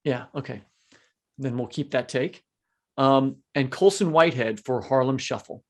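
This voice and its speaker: A man's voice: medium pitch, moderate pace. A man co-hosting a literary podcast, chatting casually and reading out award nominees with small asides. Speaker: podcast co-host. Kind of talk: podcast conversation, reading lists.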